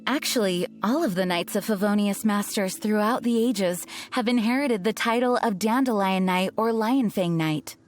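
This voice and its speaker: A woman's voice: medium pitch, normal speed, few pauses, lightly acted. An actress playing an earnest, formal female knight commander who is ill, speaking composed and dutiful. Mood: reverent. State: ill, coughing. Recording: game audio with faint music.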